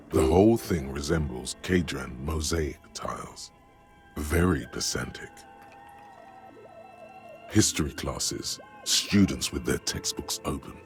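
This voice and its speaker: A man voicing a solemn, brooding inner-voice narrator in a video game, speaking in measured, literary scripted narration. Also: full character voice. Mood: contemplative. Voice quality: deep, gravelly.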